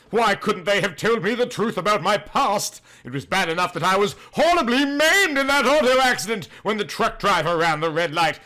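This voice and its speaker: Man playing overwrought young husband, loudly melodramatic. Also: sits high, voice pushed up. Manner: overwrought, declamatory. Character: melodramatic, outraged young married man, self-pitying soap hero.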